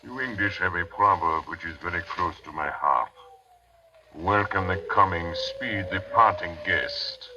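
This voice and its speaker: A man's voice: deep voice, unhurried. An actor playing a sinister, courtly vampire nobleman in a 1930s radio drama, menacing and politely formal. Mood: mock courteous. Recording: muffled, noisy 1930s radio recording.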